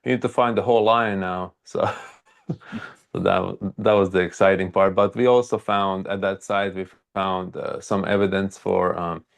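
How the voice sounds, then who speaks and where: A man from Croatia with a Croatian accent, telling his story haltingly and casually as an interview guest, with many hesitations. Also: low voice, fairly even intonation, creaky. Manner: halting, frequent hesitations and filler words. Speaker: archaeologist and video creator, interview guest.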